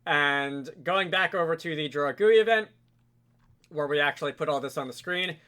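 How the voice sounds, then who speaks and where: A man narrating a software tutorial casually and informally, thinking aloud as a host with a wry streak. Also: on the high side, moderate pace.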